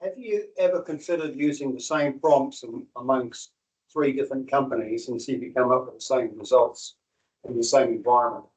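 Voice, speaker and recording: A man's voice: mid-range voice, fairly quick, darkish tone, low-quality recording. A man in a meetup audience asking the speaker a question, searching for his words.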